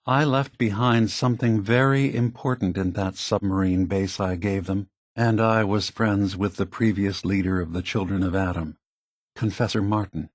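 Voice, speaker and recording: An actor playing a calm, burdened male android leader in a video game, speaking in a measured, deliberate, formal way. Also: on the low side, lightly acted. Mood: calm, grave. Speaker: game character guiding the player. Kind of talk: scripted video game voice acting.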